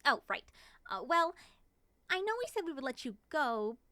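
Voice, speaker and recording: A woman playing an excitable, affectionate moth monster girl in an ASMR roleplay, gushing and sweetly possessive. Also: high voice, moderate pace, dry recording, exaggerated voice. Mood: giddy, excitable.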